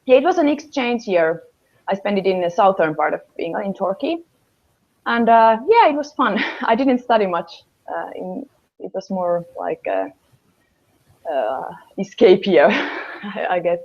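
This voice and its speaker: A young woman in her twenties from Finland, a native Finnish speaker with a Finnish accent, answering interview questions over a video call and often pausing to hunt for English words. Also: mid-range voice, animated, darkish tone. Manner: hesitant, searching for English words, many fillers. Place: small room at home, indoors. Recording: dull lo-fi video call audio.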